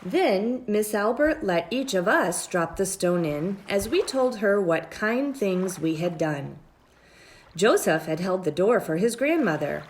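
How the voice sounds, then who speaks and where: A woman reads a children's story aloud in a measured, expressive storytelling style, drawing out her phrases. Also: medium pitch. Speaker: storybook reader.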